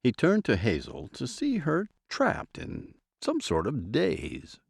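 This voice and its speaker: A man's voice: fairly high pitch, huge pitch swings, takes it slowly, creaky, husky. A man narrating a scripted audio drama as a dramatic storyteller.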